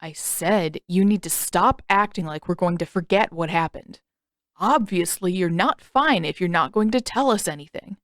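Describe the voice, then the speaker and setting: A woman's voice: lowish voice, normal speed, fairly bright tone, no echo, clean studio sound, natural voice. A woman voicing a protective, suspicious teenage boy who prods insistently and with exasperation in a story reading. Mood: muttering, frustrated.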